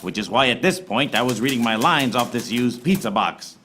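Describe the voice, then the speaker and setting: An actor playing a wry, hard-boiled private eye who narrates a parody noir radio serial in deadpan, recorded live on stage. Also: male voice, medium pitch, normal speed, a little hiss or hum. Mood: wry narrating. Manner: deadpan pun-laden monologue. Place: small theater stage before live audience.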